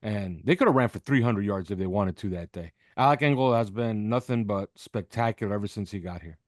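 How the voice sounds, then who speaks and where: A man's voice: fairly low pitch, fairly quick, dry recording, studio quality. Emphatic man giving sports analysis. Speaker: podcast host appearing as guest analyst. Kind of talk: sports interview commentary. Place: video call with backdrop behind.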